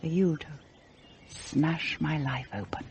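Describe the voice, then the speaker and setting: A woman's voice: low-pitched, slow pace, darkish tone, slight background noise. An actress playing a bored, rebellious upper-class married mother in a radio play, with an English accent and an articulate, self-mocking, theatrical manner. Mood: bitter, fervent. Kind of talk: British radio play acting.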